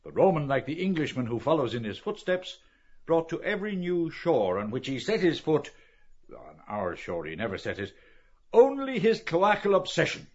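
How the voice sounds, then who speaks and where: A man voicing a grandiloquent, scornful professor in an audiobook, with deliberate, emphatic oratory. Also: fairly high pitch, sing-song, husky, dull audio, pitched above the performer's own voice.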